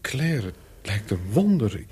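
A man acting a nervous, remorseful former lover in a radio play, speaking in a halting, earnest way. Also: lowish voice, very animated, warm tone, slightly rough, full character voice. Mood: pleading. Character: nervous, remorseful antique dealer seeking an old lover. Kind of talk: radio play acting, dialogue and inner monologue.

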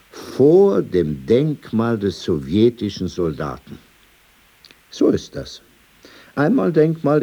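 Irascible old man, deep husky voice, swooping emphatic intonation, opinionated, digressive, radio play monologue. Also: warm tone, heavily acted. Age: older. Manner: digressive, indignant, emphatic, rhetorical questions.

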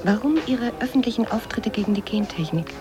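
An actress in a radio drama playing a cool, persistent interviewer with a soft husky voice and terse manner. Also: female voice, on the high side, brisk, music in the background, lightly acted. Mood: probing. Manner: terse, probing. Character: probing, persistent female media interviewer, cool and pragmatic. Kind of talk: 1980s German radio drama acting.

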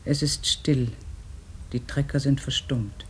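An actor playing a quiet man who narrates a radio play in a calm, lyrical way. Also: medium pitch, takes it slowly, slight background noise, close to an everyday voice. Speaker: secondary narrator.

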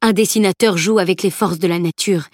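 A woman's voice: lowish voice, fairly quick, dry recording, natural voice. Lady reading a grumpy old teacher guy, bossy, lectures, dry close sound. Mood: lecturing. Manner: grumbling, severe, lecturing. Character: stern old male master teacher.

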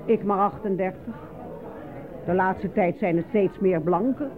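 Een actrice die in een hoorspel een brutale, spottende, grofgebekte stadse vrouw speelt, theatraal van toon. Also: female voice, medium pitch, measured pace, crowd noise, lightly acted. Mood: matter-of-fact. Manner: coarse, theatrical. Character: brash, mocking, foul-mouthed city woman. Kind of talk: radio play acting. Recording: noisy, phone-like old radio recording.

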